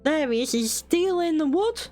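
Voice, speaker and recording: A woman's voice: high voice, expressive, moderate pace, mellow, soft background music. A British woman streamer reading a bedtime story aloud, with cheeky, playful asides.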